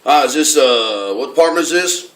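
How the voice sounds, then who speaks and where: A man's voice: medium pitch, expressive, moderate pace, a bit bright, natural voice. A man putting on a folksy, rambling redneck with a hesitant drawl for a prank phone call. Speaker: prank caller. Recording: echoey room from far off, noisy.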